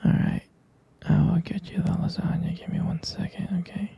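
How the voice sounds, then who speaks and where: A man acts out a tender, protective vampire boyfriend in an intimate ASMR roleplay. His voice is a very husky, breathy murmur, thick with creak and very soft and dark. He takes his time, hesitating between affectionate pet names and gentle teasing. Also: high-pitched, steady tone, normal speed, no room sound, heavily acted. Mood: caring, gentle.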